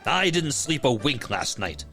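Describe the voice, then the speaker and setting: A man's voice: mid-range voice, sing-song, measured pace, gravelly, sharp tone, soft background music, exaggerated voice. A man voicing a cross, pompous village policeman in a storybook, snappish and accusing. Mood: indignant, grumbling.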